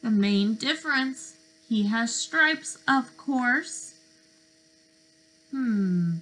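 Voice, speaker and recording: Bright, slow-talking young woman reading to preschoolers. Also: on the high side, rough recording. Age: young adult. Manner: expressive, sing-song teacher talk with questions and pauses. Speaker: speech-language pathologist presenting to preschoolers. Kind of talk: interactive read-aloud for young children. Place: bedroom, indoors.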